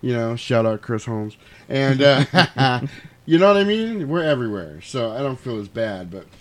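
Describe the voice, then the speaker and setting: Podcast host, male, forties. Informal, animated storyteller, medium pitch, swears easily. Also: moderate pace. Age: 40s. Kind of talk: casual podcast chat.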